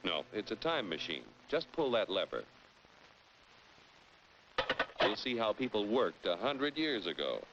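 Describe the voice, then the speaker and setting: An actor playing a confident, didactic American narrator and guide in a 1940s educational cartoon. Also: male voice, on the low side, big pitch swings, normal speed, very noisy. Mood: patient, instructive. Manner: didactic, persuasive, polished. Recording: muffled vintage film soundtrack, loud music.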